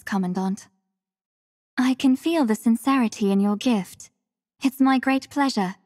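An actress playing a devoted, composed young female warrior in a video game, speaking with formal, measured, soft courtesy. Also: high-pitched, no echo, clean studio sound, long reverb tail. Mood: tender, devoted. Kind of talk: scripted mobile game character lines.

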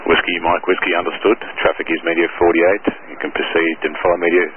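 A man's voice: low voice, little pitch movement, quick pace. An Australian man working as an air traffic controller, giving clipped instructions over the radio. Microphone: aviation radio. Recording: tinny, noisy aviation radio transmission.

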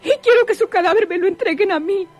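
A woman's voice: very high-pitched, quick pace, loud music behind the voice. A woman playing a grieving, suspicious sister in a melodramatic old radionovela. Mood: tearful. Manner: melodramatic, challenging.

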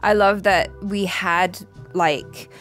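A woman's voice: lowish voice, steady tone, slow, fairly bright tone, soft background music. A woman giving casual, reflective reaction commentary, picking her way through her thoughts. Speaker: reaction video host.

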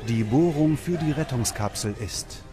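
A man reading scripted documentary narration in a measured, serious way. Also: mid-range voice, lively intonation. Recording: music under the narration. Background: background music.

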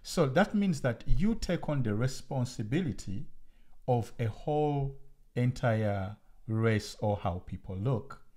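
A man from Uganda co-hosting a podcast, chatting casually with his partner, animated and full of restarts. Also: medium pitch, unhurried. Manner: animated, talks over his partner, many restarts and fillers. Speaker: podcast co-host. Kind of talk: casual conversation.

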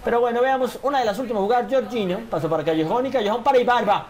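A young man giving casual, animated commentary on his football video game and reading viewer comments, often calling his audience "señores". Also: very high voice, racing. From Colombia. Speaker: gaming YouTuber.

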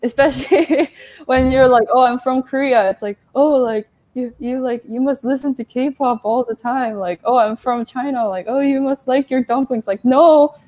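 A woman's voice: sits high, moderate pace, dark tone. A young woman, a guest author on a video call, speaking earnestly and casually with lots of "like" and easy laughter. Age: young adult. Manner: earnest, laughs easily, many "like"s. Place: indoor room. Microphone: earphone mic on a video call.

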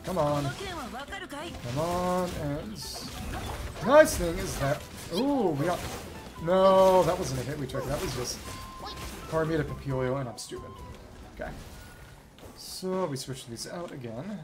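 A man's voice: on the high side, animated, slow pace, long pauses. Young man thinking aloud while gaming. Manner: rambling, thinking aloud to himself. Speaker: video game streamer. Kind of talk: casual gameplay commentary. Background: game music and effects.

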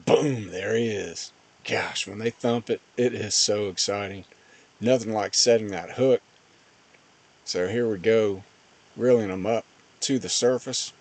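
A man's voice: on the low side, measured pace. A man giving casual, plain-spoken voice-over commentary on fishing footage as host of a fishing channel.

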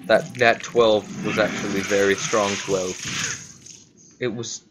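A man hosts a gameplay video in a casual, playful way, half talking to himself. His voice sits a little low and he speaks slowly, with gaps between short remarks. Faint noise and game ambience sit behind him. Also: little pitch movement. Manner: playful, talks to himself, drawn-out exclamations. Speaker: gaming video host.

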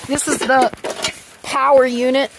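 A young woman with a high voice chats and giggles as she films a rainy yard at night. Her narration is casual and upbeat, picked up by a handheld camera mic, with a faint hiss of rain behind her. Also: level tone, a little slow. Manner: chatty, giggly, describes what she films. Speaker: vlogger filming outdoors.

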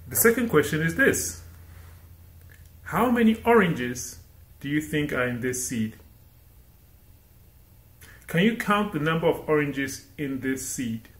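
A man's voice: a little high, fairly bright tone. A young man from Ghana gives an inspirational sermon as a guest speaker. He speaks with animation and keeps asking the listeners rhetorical questions. The room around him has a noticeable echo. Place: indoor room against a plain wall. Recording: roomy echo.

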